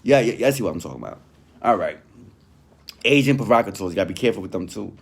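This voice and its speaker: A man's voice: lowish voice, lively intonation, racing, gravelly, slightly rough. A man commenting informally while reading aloud from a text, talking in conversational street slang and often checking that the listener follows. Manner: street-slang, conversational, peppered with "you know what I mean". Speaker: online commentator reviewing a written statement.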